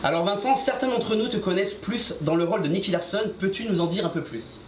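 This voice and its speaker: A man's voice: high voice, quick pace. A man hosting an interview, reading his introduction and asking questions, adding brief acknowledgements. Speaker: interviewer, channel host. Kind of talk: interview questions, partly read. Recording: echoey room with faint noise.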